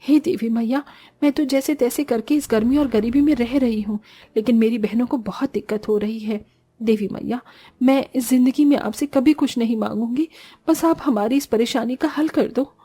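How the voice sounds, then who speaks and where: A woman voicing a poor, earnest young orphan sister in an expressive style for a cartoon moral story. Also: fairly high pitch, normal speed, slightly rough. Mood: pleading.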